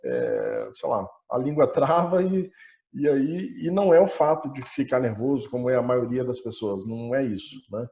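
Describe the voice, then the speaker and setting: A man's voice: medium pitch, very animated, normal speed, creaky, mellow. A man giving a casual personal account, hesitant, repeating words with a mild stutter. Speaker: coaching client. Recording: dull lo-fi online call audio.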